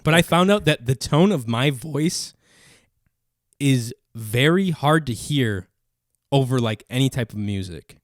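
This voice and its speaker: A man's voice: medium pitch, expressive, measured pace, no room sound, clean studio sound. A man chatting casually as a podcast host, rambling conversationally and swearing freely. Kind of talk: casual chat.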